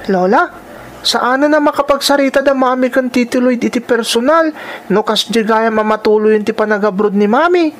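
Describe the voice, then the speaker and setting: A woman's voice: a little high, normal speed, soft background music, natural voice. A woman performs a young Filipino child in a radio drama. The child speaks earnestly and plaintively, as if confiding in an elder. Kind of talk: Ilocano radio drama acting.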